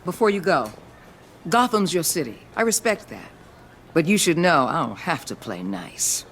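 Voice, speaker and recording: An actress playing a commanding, intimidating female agency director in a game drama, gravelly, firm and threatening. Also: sits low, big pitch swings, moderate pace, slight background noise. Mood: cold warning.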